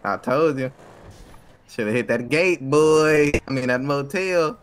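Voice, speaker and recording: A man's voice: medium pitch, measured pace, clean tone. A man roleplaying in an online crime game, arguing his points repeatedly in heavy street slang. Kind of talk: in-character street gang banter in online game chat.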